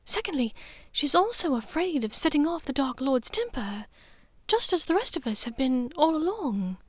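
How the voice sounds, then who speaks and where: A middle-aged noble witch mother, as a female narrator puts her on, composed and cunning. Her voice is high and often breathy, with hushed, deliberate phrasing. It is recorded close and dry, like an audiobook. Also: sing-song, dry recording.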